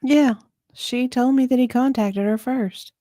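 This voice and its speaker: A woman guest on a livestream, reading a deposition part and chatting in an animated, conversational way. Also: sits high, a little slow, no room sound, clean studio sound. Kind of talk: dramatic deposition reading and casual chat.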